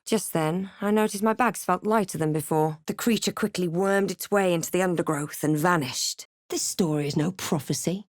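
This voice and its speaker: A woman playing a snarky, wary female adventurer in a fantasy video game, with a crisp, terse and dramatic delivery. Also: fairly low pitch, normal speed, hardly any pauses, no room sound, clean studio sound, lightly acted. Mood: brooding narration. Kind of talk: fantasy video game lines, short remarks and narrated thoughts.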